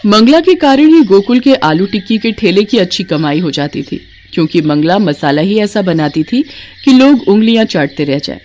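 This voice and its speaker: A woman's voice: medium pitch, very animated, normal speed, a little mellow, slight background noise. Woman narrating a scripted story.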